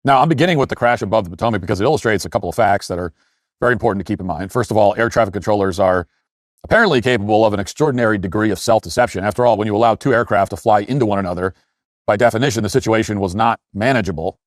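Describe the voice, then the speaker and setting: Male commentator, brisk pace, low pitch, emphatic and critical monologue, asides. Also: no room sound, studio quality. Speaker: commentary show host.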